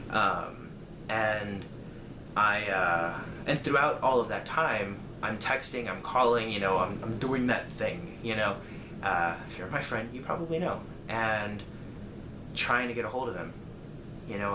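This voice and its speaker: A man telling a personal story casually, in a rambling, conversational way with dramatic emphasis. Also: on the low side. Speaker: storyteller vlogger. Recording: echoey room, clear background noise.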